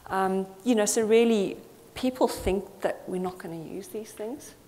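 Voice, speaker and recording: A woman's voice: mid-range voice, unhurried, warm tone. A woman from Zambia giving an earnest, thoughtful conference talk, with frequent fillers. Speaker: conference speaker. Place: conference hall. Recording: reverberant hall with faint noise.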